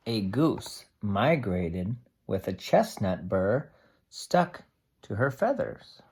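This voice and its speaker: A teacher reading a picture book aloud to young children, explaining things simply with expressive asides. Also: medium pitch, slow pace, husky.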